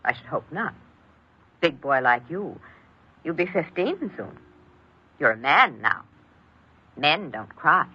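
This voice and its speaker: A woman's voice: deep voice, sing-song, slow, pauses often, breathy edge, full character voice. An actress playing an anxious, emotionally distant middle-aged mother with a clipped, formal manner in an old radio crime drama. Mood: cool, reproving. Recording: muffled, noisy old radio recording.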